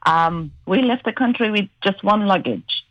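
A woman in her forties from Iran with an Iranian accent, a radio guest telling her life story slowly with many pauses. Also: medium pitch, fairly bright tone, dry recording, highs cut off. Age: 40s. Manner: slow and hesitant, many "um" pauses. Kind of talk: personal life story interview.

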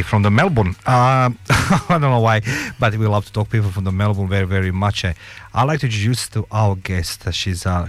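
A man hosting a business radio talk show from the studio, eager and rambling, with frequent restarts. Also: lowish voice. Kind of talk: enthusiastic radio interviewing.

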